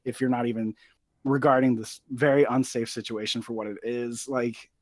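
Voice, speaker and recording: A man's voice: medium pitch, flat delivery, moderate pace, dry recording. A man guesting on a film podcast, talking earnestly with lots of fillers. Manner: earnest, filler-heavy, many "like"s. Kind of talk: casual movie chat.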